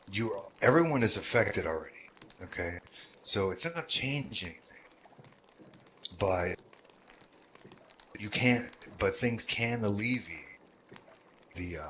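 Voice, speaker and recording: Male roleplay host, deep voice, unhurried and halting, lots of fillers. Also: a bit bright, slight background noise, poor audio. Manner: halting, with many fillers and restarts. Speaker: roleplay game world organizer. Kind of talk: casual talk to a roleplay group.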